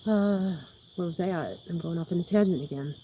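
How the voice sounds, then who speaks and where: Soothing, low-pitched woman rambling to viewers. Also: brisk, warm tone, lo-fi sound, slight background noise. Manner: chatty, loses her train of thought, laughs often. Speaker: YouTube livestream host. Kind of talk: rambling casual chat with viewers.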